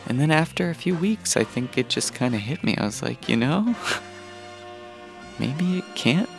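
A man's voice: medium pitch, expressive, measured pace, vocal fry. A man casually telling childhood stories in a conversational, chuckling way, with music underneath. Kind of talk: casual personal storytelling.

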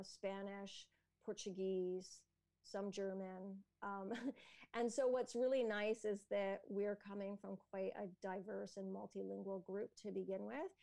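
A woman guest talks through her plans in an easy, thoughtful way, with frequent "um"s and soft laughs. Her voice is gravelly and mellow, held to a narrow, steady pitch, and the recording is close and dry. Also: no room sound. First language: English. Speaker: entrepreneur and educator, guest. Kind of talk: conversational interview answer.

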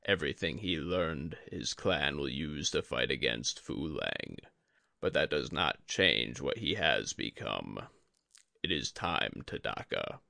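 A man voicing a solemn, resigned, tainted old sorcerer and teacher in a slow, grave, measured way for a fantasy audiobook. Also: very deep voice, very animated, vocal fry, sharp tone, no room sound, studio quality, pitched below the performer's own voice, full character voice.

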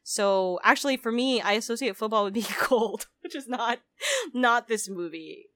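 A woman's voice: on the high side, moderate pace, a bit bright, dry recording. A woman in her twenties, raised in the Philippines, co-hosting a podcast in a chatty, giggly way full of "like" and "um". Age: 20s. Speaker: podcast co-host. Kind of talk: casual podcast chat.

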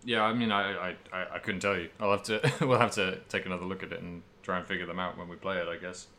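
A man's voice: low voice, little pitch movement, fast, pushed and bright. A man co-hosting a podcast, talking casually about video games in a rambling way, as if thinking aloud. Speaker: podcast co-host. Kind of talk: casual video game discussion.

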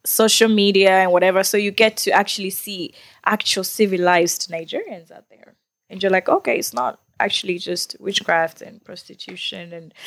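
A woman's voice: medium pitch, no room sound. A woman from Tanzania, a guest on a casual podcast, giving blunt, emphatic opinions.

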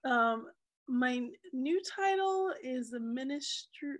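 A woman's voice: high voice, expressive, slow. A woman ministry specialist whose voice is going from allergies, talking hesitantly and correcting herself. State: losing her voice from allergies.

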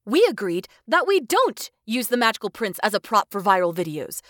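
Stern, animated scolder, dry sound. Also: female voice, medium pitch, normal speed, no echo. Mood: stern, exasperated. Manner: firm, reproving. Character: stern, exasperated woman scolding like a responsible elder. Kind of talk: audio drama acting.

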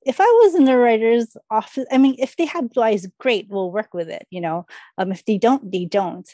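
A woman's voice: on the high side, lively intonation, moderate pace, dark tone, dry recording, studio quality. A woman speaking as a podcast guest in casual chat, telling things by quoting her own thoughts.

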